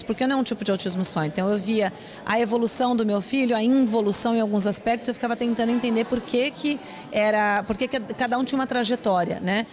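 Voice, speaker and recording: Earnest woman explaining with steady warmth. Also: fairly high pitch. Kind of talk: interview answers. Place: indoor event hall. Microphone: handheld reporter mic.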